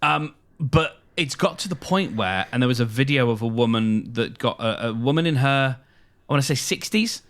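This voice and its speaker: A British man co-hosting a podcast, explaining things casually in a halting way, often hedging and correcting himself. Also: medium pitch, lively intonation, a bit bright. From United Kingdom. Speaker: podcast co-host.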